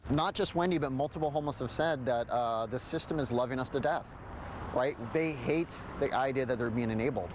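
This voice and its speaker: A man's voice: medium pitch, fairly quick. A man with an American accent, an outreach worker speaking emphatically outdoors on a city street. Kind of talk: on-the-street interview and commentary. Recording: noisy outdoor urban street, traffic.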